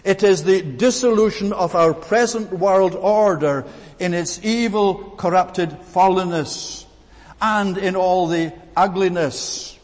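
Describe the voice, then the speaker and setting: A Scottish man preaching in a voice pitched a little above the usual male range. He speaks earnestly and emphatically, leaning on repeated words to drive his point home. The sound carries the long reverb of a large hall. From Scotland. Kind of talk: expository sermon.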